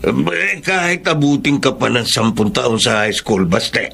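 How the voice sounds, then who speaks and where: A man playing a caring, hardworking middle-aged fisherman father in a Filipino radio drama, with a gravelly voice. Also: mid-range voice, animated, measured pace, fairly bright tone. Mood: earnest, encouraging. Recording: faint background noise.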